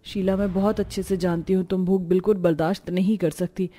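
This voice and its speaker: A woman voicing a poor young married woman in a cartoon story, gentle, concerned and practical. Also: lowish voice, fairly even intonation, brisk, faint music behind, close to an everyday voice. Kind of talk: cartoon story character dialogue.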